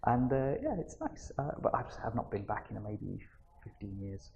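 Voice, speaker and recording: A young man talks loosely as a guest, rambling and restarting his sentences. His voice has a gravelly fry to it. The recording sounds dull and lo-fi, with a faint hiss underneath. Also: lowish voice, expressive, normal speed, a little mellow. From Manchester, England. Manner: chatty, rambling, with frequent fillers and restarts. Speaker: interview guest. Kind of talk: casual conversational interview.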